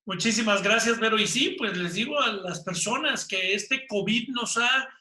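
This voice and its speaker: Mexican male interview host, high forceful voice, roomy echoing sound. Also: moderate pace. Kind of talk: conversational interview.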